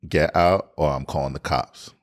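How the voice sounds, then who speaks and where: Very deep-voiced man, candid storyteller. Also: normal speed. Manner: animated retelling, acting out his own past lines. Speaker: podcast co-host. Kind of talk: candid storytelling in casual chat.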